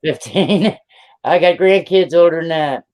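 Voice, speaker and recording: A man's voice: fairly high pitch, measured pace, a little mellow. A man in his fifties hosting a live stream, chatting casually in a rambling, plain-spoken way. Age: 50s. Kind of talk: casual chat.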